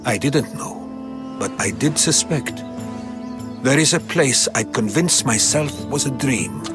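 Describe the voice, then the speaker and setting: An actor playing a learned, secretive older Greek historian in a video game, speaking in a measured storytelling way. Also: male voice, mid-range voice, expressive. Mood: solemn, reflective. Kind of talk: dramatic video game dialogue. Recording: game music under the voice.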